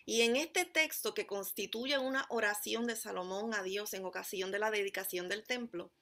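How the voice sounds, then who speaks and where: A woman from Puerto Rico with a Puerto Rican Spanish accent, delivering a sermon as pastor in a measured, emphatic way, slowing down on key phrases. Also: mid-range voice, pushed and bright. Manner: measured, emphatic preaching with deliberate slowed phrases.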